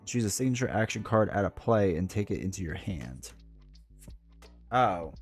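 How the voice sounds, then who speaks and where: A man's voice: low-pitched, expressive, normal speed, breathy edge, soft background music. A man with a stuffed-up cold, chatting in a rambling, casual way while he streams a solo board game live from home. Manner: rambling, chatty, full of asides and fillers.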